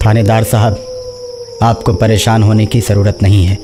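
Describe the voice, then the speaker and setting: A man's voice: fairly low pitch, little pitch movement, moderate pace, noisy background, natural voice. A man voicing the solemn, accusing ghost of a murdered temple priest in a cartoon horror story. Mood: grave, accusing. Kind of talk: Hindi animated horror story acting.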